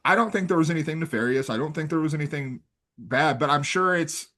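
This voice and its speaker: A man's voice: fairly high pitch, fast, fairly bright tone. A man co-hosting a sports podcast, giving casual commentary in a discursive, conversational way with plenty of qualifying. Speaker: podcast co-host.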